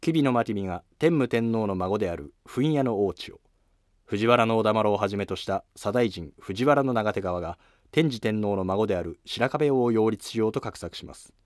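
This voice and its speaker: A man's voice: fairly low pitch, lively intonation, normal speed, no room sound, clean studio sound. A man reading scripted narration for a history drama as its narrator.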